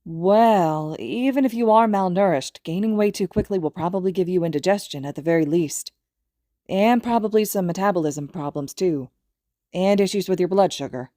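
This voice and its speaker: A woman voicing a cheerful, earnest teenage boy in an audiobook, with an eager and expressive delivery. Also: on the low side, moderate pace, dry recording, clean studio sound, natural voice. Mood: eager, lecturing.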